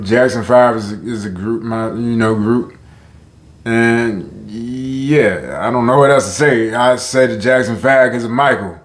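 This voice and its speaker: A man with a deep voice rambles casually straight to his viewers, stopping and restarting his sentences. He talks at an ordinary pitch. His voice bounces around an echoey room. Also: fairly bright tone. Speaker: vlogger. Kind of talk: casual talk to camera.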